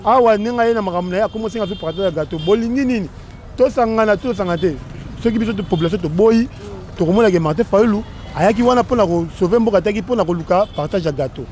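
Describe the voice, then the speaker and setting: A Congolese man in his thirties speaks in a high-pitched voice. He is answering as a passer-by in an interview recorded out on a city street. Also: fast, a little mellow, slight background noise. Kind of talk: animated opinion in street interview. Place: outdoors, busy street by a shopfront.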